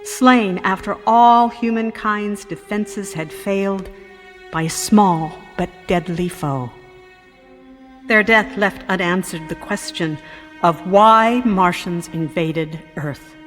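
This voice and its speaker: Slow, grave woman professor played by an actress. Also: mid-range voice, faint music behind, lightly acted. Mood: grave, reflective. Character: female professor solemnly recalling the aftermath of an invasion. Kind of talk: radio drama monologue, as a formal speech. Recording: long reverberant hall sound.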